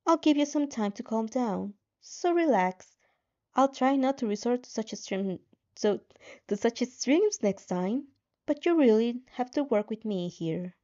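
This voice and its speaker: Woman as a condescending, remorseless system voice, higher-pitched. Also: expressive, a little slow, warm tone, lo-fi sound. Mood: patronizing coaxing. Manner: patronizing, coolly coaxing.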